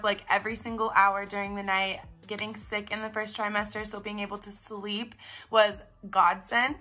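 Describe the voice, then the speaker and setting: A young woman with a raspy, congested voice, chatting casually in a vlog. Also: medium pitch, sharp tone. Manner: chatty, upbeat, filler-heavy. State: congested, stuffed up. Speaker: vlogger. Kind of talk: casual pregnancy recap vlog.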